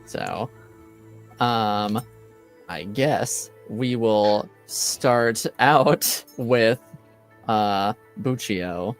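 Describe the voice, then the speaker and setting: Slow, halting male game master. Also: on the low side, faint music behind. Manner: halting, searching for words. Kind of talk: casual unscripted recap of a tabletop game.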